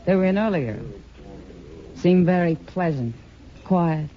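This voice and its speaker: A woman's voice: on the low side, animated, takes it slowly. A woman playing an easygoing, teasing saloon woman in a 1950s radio western, talking in a familiar way. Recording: dull 1950s radio recording, crowd chatter behind.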